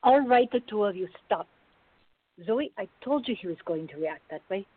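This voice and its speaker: A woman's voice: medium pitch, animated, normal speed, close to an everyday voice. A woman playing a firm, sensible peacemaking partner in a radio drama, with a chiding but fond manner. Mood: scolding. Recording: thin, phone-like band-limited audio.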